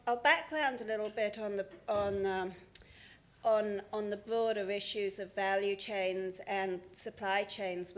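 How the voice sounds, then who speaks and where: Woman, conference speaker, halting delivery, lots of ums. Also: medium pitch. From Australia. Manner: hesitant, many ums and restarts. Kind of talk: conference presentation. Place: conference hall.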